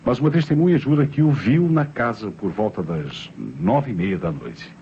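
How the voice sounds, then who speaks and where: A man's voice: fairly low pitch, animated, moderate pace, warm tone. A Brazilian man playing a sly, ironic police inspector in an old radio drama, courteous and teasing, repeating himself. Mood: politely probing. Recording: muffled vintage radio recording, faint noise.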